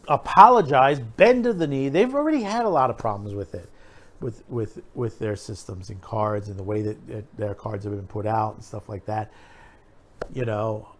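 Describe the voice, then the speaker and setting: A man hosting an opinion video, talking casually and emphatically to viewers in a rambling, repetitive way. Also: medium pitch. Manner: rambling, repeats himself, emphatic. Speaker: host of an opinion video channel.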